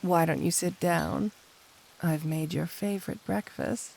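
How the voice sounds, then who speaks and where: Slow, creaky, flirty supervillainess, acted by a woman. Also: fairly low pitch, a little hiss or hum, low-quality recording. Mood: doting.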